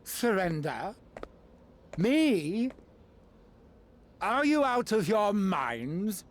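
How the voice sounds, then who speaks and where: An actor playing a flamboyant, cruel villain lord in a video game, haughty and mocking in a theatrical way. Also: male voice, high voice, very animated, very slow, slight background noise, heavily acted. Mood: smug, mocking. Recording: loud music behind. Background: game music.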